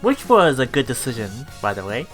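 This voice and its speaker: Bright-voiced man narrating a game. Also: mid-range voice, very animated, brisk. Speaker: let's play host. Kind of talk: reading visual novel text aloud with casual commentary. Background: game music.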